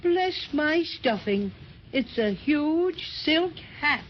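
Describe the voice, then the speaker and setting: A small, folksy toy bear speaks in a high, unhurried, childlike voice. The 1930s radio fantasy recording is muffled and faintly noisy. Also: female voice, animated. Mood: amazed. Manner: folksy, childlike, repeats words.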